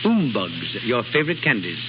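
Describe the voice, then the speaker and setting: An actor playing a suave, proud Frenchman hiding jealous love, formal and measured in 1930s radio drama style. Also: mid-range voice, big pitch swings, natural voice. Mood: tender, ardent. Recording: muffled 1930s radio broadcast with loud music behind.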